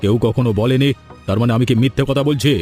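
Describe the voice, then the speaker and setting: A man playing a middle-aged, fussy village father-in-law in a cartoon story, talkative and quick to scold. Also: mid-range voice, darkish tone, soft background music, close to an everyday voice. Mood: irritated, scolding. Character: fussy older village father-in-law, easily swayed and irritable. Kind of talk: animated cartoon story dialogue.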